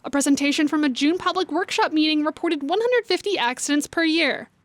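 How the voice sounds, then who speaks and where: A woman's voice: sits high, brisk. A woman reporting local news in a scripted narration.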